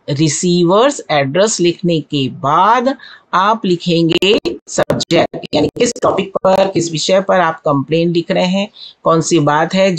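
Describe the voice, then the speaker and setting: A woman with an Indian accent, a native Hindi speaker, teaching an exam-prep lesson in an instructive way, repeating and translating key phrases. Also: on the low side. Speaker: English teacher.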